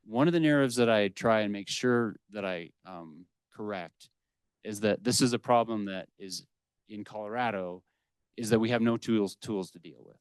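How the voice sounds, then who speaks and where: Smooth, low-voiced man, assertive panel speaker. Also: normal speed, dry recording. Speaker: wildlife management official on a panel. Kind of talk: unscripted panel remarks, explanatory. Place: indoor public panel discussion at a library.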